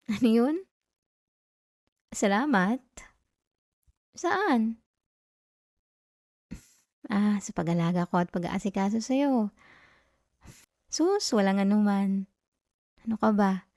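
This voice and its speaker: Soft, mellow Filipino girlfriend, acted by a woman. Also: medium pitch, no echo, clean studio sound, close to an everyday voice. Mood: warm, caring. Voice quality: soft, gentle. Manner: tender, coaxing, intimate. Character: affectionate, caring, playfully scolding young girlfriend. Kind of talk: soft intimate ASMR girlfriend roleplay.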